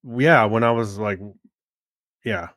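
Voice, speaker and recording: A man's voice: on the low side, takes it slowly, fairly bright tone, no room sound, studio quality. A middle-aged man co-hosting a podcast, chatting casually in a hesitant, rambling way. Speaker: podcast co-host. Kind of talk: casual chat about movies.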